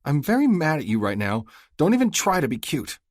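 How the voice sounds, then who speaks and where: A man's voice: a little high, wildly swooping pitch, fast talker, a little mellow, breathy edge, no room sound, studio quality, voice pushed up, heavily acted. A man voicing an upset young woman who talks back sharply and defensively. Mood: angry hurt.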